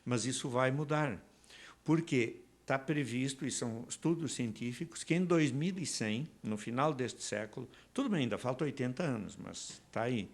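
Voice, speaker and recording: Portuguese-born man explaining at length. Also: mid-range voice. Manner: explanatory, digressive, with asides and tag questions. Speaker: interview guest. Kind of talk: conversational explanation.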